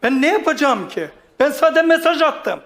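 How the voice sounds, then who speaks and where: A man's voice: extremely high pitch, sing-song, moderate pace, fairly bright tone. A man speaking as a talk show guest, defensive and rambling, stammering and stubbornly repeating himself.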